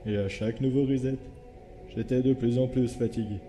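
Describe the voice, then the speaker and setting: Man playing a weary, dark-voiced skeleton hero. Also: medium pitch, fairly even intonation, moderate pace, faint music behind, natural voice. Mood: weary, resigned. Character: weary, guilt-ridden skeleton hero of a fan comic. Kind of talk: dramatic comic dub acting.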